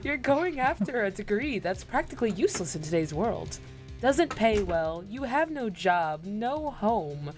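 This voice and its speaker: A woman's voice: medium pitch, big pitch swings, unhurried. A woman playing a scornful, insistent young female defense lawyer in an amateur video game dub, with music behind.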